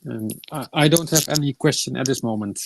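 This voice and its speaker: A man from the Netherlands chatting on a live stream, halting with many fillers. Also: medium pitch, gravelly, slight background noise. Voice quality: hesitant, lots of uhs. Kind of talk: casual live-stream chat.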